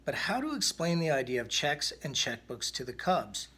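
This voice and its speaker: A man reading a children's picture book aloud in an expressive way, with the odd stumble. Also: a little high, normal speed, slightly rough. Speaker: storybook reader.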